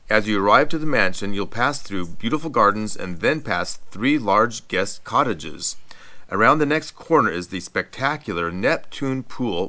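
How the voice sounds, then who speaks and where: Bright-voiced man narrating a travel guide. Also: on the low side. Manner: even, informative guide delivery. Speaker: travel vlog co-host. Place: voice-over, not recorded on location.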